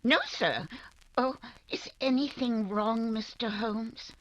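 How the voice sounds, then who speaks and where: A woman's voice: a little high, slow pace, highs cut off. A woman playing a homely, motherly, deferential housekeeper in an old-time radio detective drama. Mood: puzzled, earnest. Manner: folksy, obliging.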